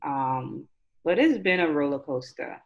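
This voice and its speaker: Low-voiced young woman, chatting candidly. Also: lively intonation, measured pace, creaky. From Nassau, Bahamas. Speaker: interview guest, chemistry graduate student. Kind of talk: casual chat. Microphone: video call. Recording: dull, lo-fi video call audio.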